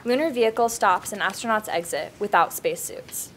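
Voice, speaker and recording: A woman's voice: medium pitch, fairly quick, a bit bright, a little hiss or hum. A woman reading out screenplay stage directions in a plain narrating style.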